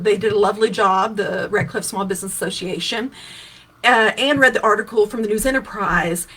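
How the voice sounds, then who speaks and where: A woman in her fifties from Kentucky talks straight to her viewers in a casual livestream, walking them through practical details. Her voice is husky and sits at a middle pitch, and she often restarts a phrase or adds a filler as she goes. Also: sharp tone, poor audio. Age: 50s. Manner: conversational, with many restarts and fillers, answering viewer questions. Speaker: political candidate hosting her own livestream. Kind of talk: casual explanatory livestream talk.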